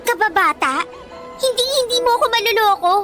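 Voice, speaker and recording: Jealous young villainess, very high animated voice, confrontational, radio drama over music. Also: female voice, normal speed. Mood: angry, jealous. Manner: demanding, confrontational. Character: bratty, jealous young mean-girl student girlfriend. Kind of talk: Filipino radio drama acting.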